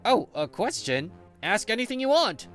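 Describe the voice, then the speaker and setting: An easygoing male office senior, acted by a man in a manga dub, patiently guiding a junior. His delivery is conversational and animated, swinging widely in pitch. Soft music plays behind him. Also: normal speed. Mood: friendly. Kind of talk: English manga dub, narration and dialogue.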